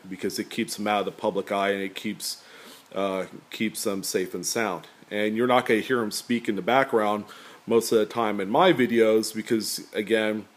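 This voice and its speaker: A man with a deep, slightly nasal voice talking casually to camera about his coin collection, rambling with many fillers. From California. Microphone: camera pointed at table, speaker off screen.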